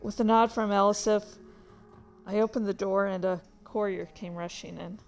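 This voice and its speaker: A woman's voice: medium pitch, fairly even intonation, moderate pace, gravelly, faint music behind. A woman reading their own fan fiction aloud as a streamer, moving between story narration and casual asides.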